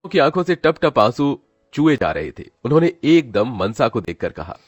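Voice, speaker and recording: A man's voice: medium pitch, very animated, normal speed, dry recording, lo-fi sound, soft background music. A man narrating a scripted dramatic story as its storyteller.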